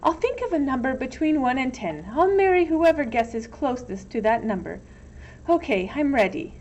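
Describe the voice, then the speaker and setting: A woman's voice: high-pitched, lively intonation, moderate pace, a little mellow, voice pushed up. A woman voicing a sweet, dithering, empty-headed young daughter in a storybook. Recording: dull, lo-fi with some background noise.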